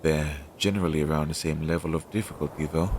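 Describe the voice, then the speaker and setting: Noisy low-fidelity recording, man acting as anxious magical familiar, low-pitched, halting, fretful, devoted, audio drama. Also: fairly even intonation. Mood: nervous, reflective. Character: anxious, self-doubting magical familiar devoted to his master. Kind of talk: scripted audio roleplay, one-sided dialogue. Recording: low-fidelity audio with clear background noise.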